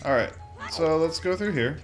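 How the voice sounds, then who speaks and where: Guy doing a casual let's play, really animated voice, rambles and cuts himself off, game music going behind him. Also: fairly high pitch, normal speed. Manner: rambling, self-interrupting, joking asides. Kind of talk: casual gameplay commentary. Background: video game music.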